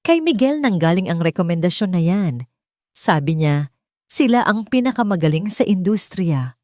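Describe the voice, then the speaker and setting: Female reader as stern, sincere male tycoon, commanding manner, low dark tone, storybook read. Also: sing-song, normal speed, no echo, studio quality. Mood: matter-of-fact. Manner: firm, commanding.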